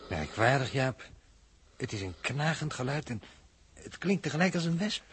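A man acting a curious, astonished crewman in a 1960s Dutch radio play, observing in halting wonder, on a vintage recording. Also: mid-range voice, big pitch swings, fairly bright tone, slightly rough, heavily acted. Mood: puzzled.

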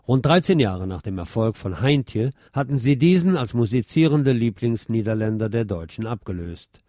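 A man reading scripted narration aloud in a measured, literary manner. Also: mid-range voice, animated, dark tone, no echo, clean studio sound. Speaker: narrator reading an essay on German music history.

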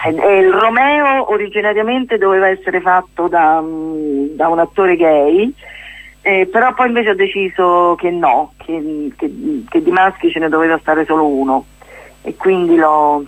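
A woman, chatting as a playwright-actress guest in a casual radio interview, often halting and rephrasing herself. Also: fairly low pitch, no room sound, low-quality recording. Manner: chatty, halting and self-correcting, with amused asides. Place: radio studio.

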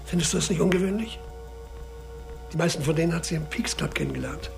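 Aging male detective, radio crime drama. Gravelly fry, raised pitch, driven and on edge. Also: quick pace, soft background music. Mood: intent, urgent. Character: aging male police inspector, driven, increasingly frantic.

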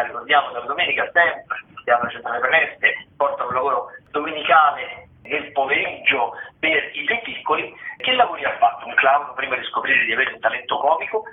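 A man with a Roman accent hosting a radio theatre show, running through upcoming plays in a chatty way with many hesitations and restarts. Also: a little high. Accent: Roman Italian. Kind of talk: reading theatre listings on radio. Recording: phone-like roomy radio sound.

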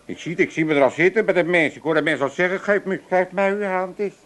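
A man's voice: sits high, fast, a bit bright. A man playing a grumpy, mocking old working-class Amsterdammer in a comic radio play, blustering and colloquial. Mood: mock dread. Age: older.

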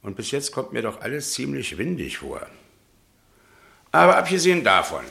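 An actor playing a brusque, skeptical police inspector with an officious, dismissive manner in a German radio play. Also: male voice, mid-range voice, sing-song, normal speed, vocal fry, pushed and bright, full character voice. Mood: stern, officious.

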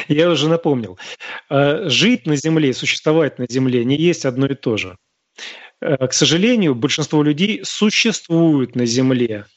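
A man's voice: animated, normal speed, dry recording, lo-fi sound. A man hosting a live radio talk show, lecturing listeners in an emphatic, scornful way. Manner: emphatic and scornful, with sharp rises in pitch. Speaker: radio host answering listener questions.

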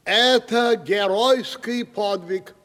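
An actor playing an affected, flattering gentleman in a radio stage comedy, effusive and repeating himself. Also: high voice, takes it slowly. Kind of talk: 1970s Russian stage comedy radio acting. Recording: reverberant hall, old radio drama.

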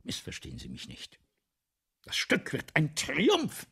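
A man's voice: a little high, wildly swooping pitch, measured pace, a bit bright, slight background noise, heavily acted. A male actor in a radio play playing a vain, ailing, agitated playwright who rambles imperiously and swings between muttering and outbursts. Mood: agitated, rambling. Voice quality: husky, gravelly. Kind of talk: 1970s German radio play acting.

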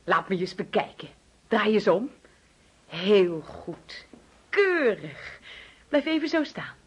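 An actress playing a brisk, doting and domineering wife who fusses and gives orders in a radio play. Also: female voice, high voice, a bit bright, full character voice. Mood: brisk, delighted. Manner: bossy, fussing, cooing. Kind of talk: Dutch radio play acting.

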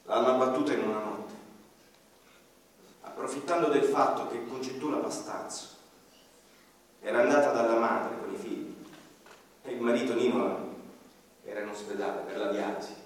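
A man's voice: medium pitch, normal speed, pauses often, natural voice. Reflective ordinary man recalling his lost home, played by a male actor: stage monologue in a big reverberant hall, mic at a distance, faint noise. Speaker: first-person narrator in a radio play. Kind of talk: stage radio-theatre monologue. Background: violin music.

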